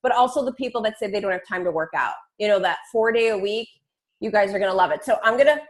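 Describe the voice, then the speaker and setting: A woman's voice: medium pitch, quick pace. Chatty, informal woman hosting a call. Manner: chatty, informal, peppered with slang and swearing. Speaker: fitness coach hosting a team call. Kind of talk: casual chat on a team call. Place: online group call.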